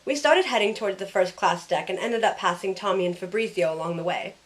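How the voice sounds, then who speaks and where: A woman's voice: medium pitch, brisk, a little hiss or hum, natural voice. A woman playing a sardonic, loyal young heroine who narrates her own story in an audio drama. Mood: storytelling.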